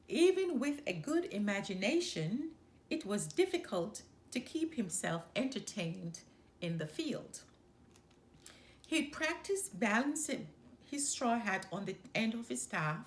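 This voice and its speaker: Slow, expressive woman storyteller. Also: medium pitch, a little hiss or hum. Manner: deliberate, expressive phrasing. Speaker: storyteller, channel host. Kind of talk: children's story read-aloud.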